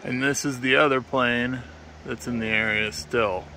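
A man's voice: medium pitch, moderate pace, low-quality recording. Matter-of-fact man vlogging outdoors, faint background noise. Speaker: travel vlogger. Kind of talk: casual narration to camera. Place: outdoors at a forest campsite. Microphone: handheld phone at arm's length. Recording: steady outdoor ambient noise.

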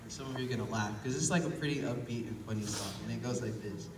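A young man preaching a casual sermon in a small church, his voice hoarse from illness, recorded from the pews. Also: fairly low pitch, quick pace, noisy background. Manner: relaxed and conversational, rising with emphasis. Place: small church hall with congregation. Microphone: phone recording from the pews. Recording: echoey church hall from far off.

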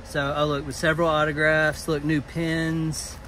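A man in his thirties with a slightly high voice talks fast and excitedly as he digs through a storage unit. The metal-walled room is recorded on a camera mic, with clear background noise from the space and the road. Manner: excited, rapid commentary while digging through boxes. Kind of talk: casual vlog narration. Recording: noisy storage unit ambience.

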